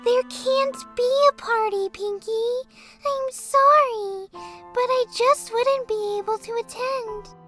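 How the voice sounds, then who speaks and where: Timid cartoon pegasus mare, tiny high voice, delicate, hesitant, slow, gentle, storybook with clear background music. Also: female voice, heavily acted. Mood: sorrowful. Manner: hesitant, apologetic.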